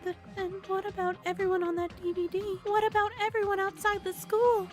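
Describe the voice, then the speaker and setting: A woman's voice: very high voice, flat delivery, fairly quick, faint music behind. A woman voicing an anxious, dramatic teenage schoolgirl in an anime fan dub. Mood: pleading.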